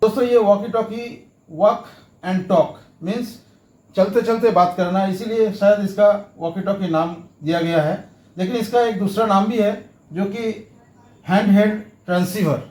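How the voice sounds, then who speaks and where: Male how-to presenter, notably high voice, informal step-by-step delivery with repetition, recorded with roomy echo. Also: steady tone, a little slow, a little mellow. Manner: explains step by step, often repeating phrases. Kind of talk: informal explainer lesson. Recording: echoey room sound.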